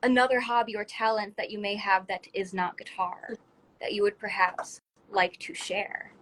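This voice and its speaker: Bright-voiced, effusive woman hosting. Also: mid-range voice, fairly even intonation, moderate pace, low-quality recording. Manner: effusive, encouraging. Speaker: talk show host interviewing a guest. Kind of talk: casual livestream interview chat. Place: livestream video call from a phone.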